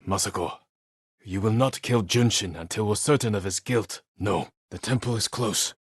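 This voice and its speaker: An actor voicing a grave, determined samurai warrior in a video game, speaking in measured, solemn, terse lines. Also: male voice, on the low side, husky, dry recording, clean studio sound. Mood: determined, stern. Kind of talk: dramatic game character dialogue.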